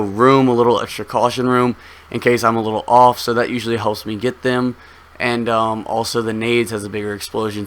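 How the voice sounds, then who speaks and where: A young man with a slightly hoarse voice, giving relaxed, rambling game commentary full of ums as a gaming video host. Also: medium pitch. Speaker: gaming YouTuber. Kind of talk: casual gameplay commentary.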